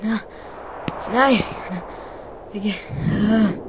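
A man's voice: high voice, slow, very noisy. An actor playing a spirited, confused teenage boy hero in a video game. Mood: groggy. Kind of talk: video game cutscene acting. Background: game music.

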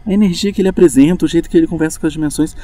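A man's voice: on the high side, rapid-fire, dark tone, no echo, lightly acted. A man playing an eager, awestruck young tech patroller in an audio drama, enthusiastic and rambling. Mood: excited.

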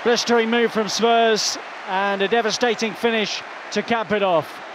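A man giving excited, emphatic live football match commentary as a commentator. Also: high-pitched, normal speed, background noise. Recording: broadcast over crowd roar and music. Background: stadium crowd, music.

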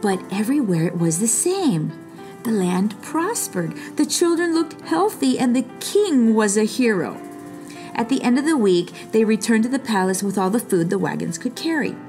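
A woman reading a children's story aloud expressively, with dramatic pauses and stretched words. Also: a little high, very animated, darkish tone, faint music behind. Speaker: storybook reader.

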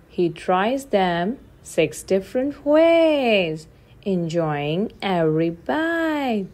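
A woman reading a children's picture book aloud in a deliberate, sing-song way, counting and asking questions. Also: medium pitch, a little mellow.

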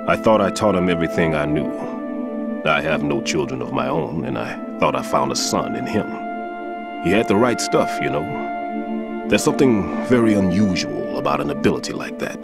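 A grave older bomb-disposal veteran for a video game. His voice is extremely deep, and he speaks in a measured, brooding, regretful way. Music plays behind him. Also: expressive. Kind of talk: dramatic video game acting. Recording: background music under the voice.

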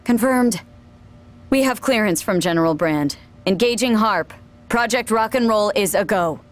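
A woman's voice: mid-range voice, expressive, a little slow, faint music behind, close to an everyday voice. A woman playing a serious military operations officer in a game cutscene, formal and urgent. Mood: resigned, formal.

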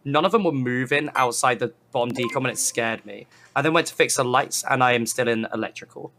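A man's voice: medium pitch, brisk, noisy background. A British man chatting casually as a player in a group online game.

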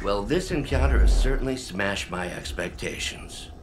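An actor playing a pompous, mocking scientist villain in a video game cutscene. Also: male voice, on the low side, expressive, normal speed, heavy background noise, lightly acted. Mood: gleeful menace. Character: smug, theatrical, menacing male scientist villain.

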